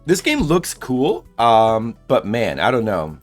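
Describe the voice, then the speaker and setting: A man's voice: medium pitch, huge pitch swings, slow pace, faint music behind. A man streaming a game and chatting casually, thinking aloud in a rambling way and answering chat messages.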